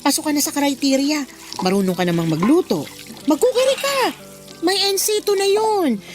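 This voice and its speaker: Very high-pitched, nosy Filipina co-worker. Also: female voice, normal speed. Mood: urging. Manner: animated, prodding, exclamatory. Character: nosy, caring older female co-worker, blunt and encouraging. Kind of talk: Tagalog radio drama acting. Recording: music bed under the dialogue.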